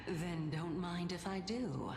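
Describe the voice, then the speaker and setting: A woman's voice: on the low side, slow pace, darkish tone, a little hiss or hum. A woman voicing an ancient, cryptic spirit of reason in a game, with a languid, amused and condescending manner. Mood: curious. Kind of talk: dramatic video game voice acting. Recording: echoey reverberant hall, music behind.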